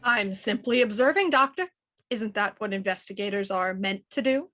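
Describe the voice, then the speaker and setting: An actress playing a composed, sharp-witted female inspector in a 1920s radio mystery, speaking crisply and dryly. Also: mid-range voice, normal speed, lightly acted. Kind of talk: 1920s radio drama acting.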